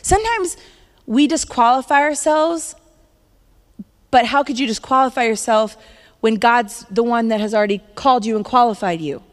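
A woman's voice: fairly high pitch, normal speed. A woman in her thirties preaching a sermon in a conversational, upbeat way, laughing now and then. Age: 30s. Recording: long hall reverb.

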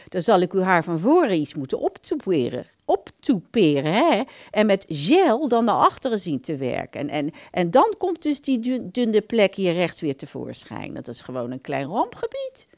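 A woman's voice: lowish voice, sing-song, moderate pace, few pauses, dry recording. A woman playing a frank, chatty hairdresser in a radio play, with blunt professional patter. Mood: blunt, explaining.

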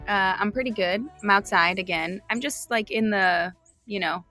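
Bright-voiced woman chatting outdoors. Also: medium pitch, normal speed, faint music behind. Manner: chatty, with many fillers, relaxed. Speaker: podcast co-host. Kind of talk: casual chat.

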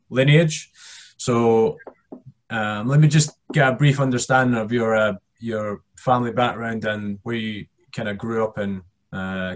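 Male podcast host, lowish voice, casual, kinda halting when he asks stuff. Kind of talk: casual interview.

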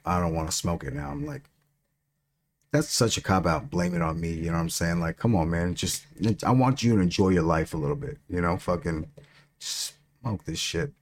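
A middle-aged man who stutters and has a lisp, talking casually and with plenty of swearing as a podcast co-host. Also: low voice. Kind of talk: casual chat.

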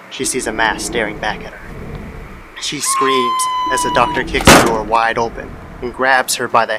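A man narrating a scripted horror story in a measured, somber manner. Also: medium pitch, noisy background. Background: music.

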